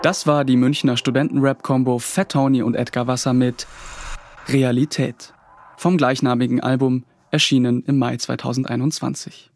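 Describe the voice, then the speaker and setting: A man's voice: mid-range voice, little pitch movement, moderate pace, a little hiss or hum. A man presenting a radio program, announcing a song and introducing the next report in a plain, scripted way. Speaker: radio host.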